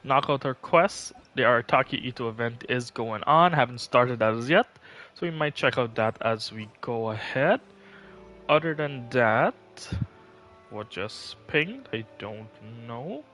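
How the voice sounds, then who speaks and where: A man's voice: mid-range voice, animated, measured pace, bright, forceful tone, a little hiss or hum. A man hosting a gaming livestream, chatting casually and thinking aloud in a conversational way. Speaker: live streamer, channel host.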